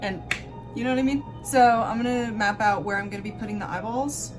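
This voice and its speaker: A woman's voice: a little high, brisk, over music. A woman hosting a makeup tutorial in a casual, chatty style, with an offhand, self-deprecating and informal way of talking.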